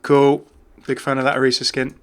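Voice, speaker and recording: Male, gaming host, medium pitch, casual commentary, chatty, jokey. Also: completely flat delivery, brisk, smooth.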